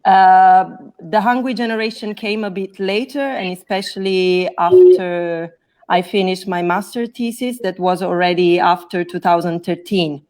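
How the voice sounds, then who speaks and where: A woman's voice: fairly low pitch, fairly even intonation, a little slow, darkish tone. Italian-accented woman, careful explanation. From Naples, Italy. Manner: careful, explanatory, restarts to rephrase. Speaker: scholar, guest. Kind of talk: explaining in an interview. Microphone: video call.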